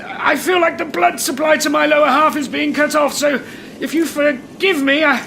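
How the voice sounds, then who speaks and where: A man's voice: high voice, lively intonation, moderate pace, bright, forceful tone, a little hiss or hum, heavily acted. A man with a British accent playing a flustered, pompous, exasperated supermarket manager in a comedic audio drama. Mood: panicked irritation.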